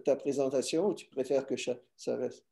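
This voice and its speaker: A man's voice: mid-range voice, fairly even intonation, normal speed, creaky, mellow. A man hosting an online seminar, talking informally and trailing off mid-phrase over a video call. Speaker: seminar host. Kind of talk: casual chat on a video call.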